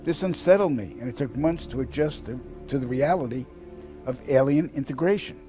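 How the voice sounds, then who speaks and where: A man tells a personal account in measured narration. His voice is dark and mellow at a middling pitch, with faint music underneath. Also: animated. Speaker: testimonial narrator.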